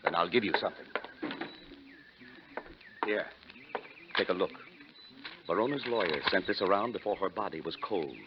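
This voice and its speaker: A man's voice: low voice, normal speed, pauses often, natural voice. An actor playing a scheming, defensive middle-aged stepfather, well-off, in a 1940s radio drama. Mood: smug. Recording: dull, noisy 1940s radio recording.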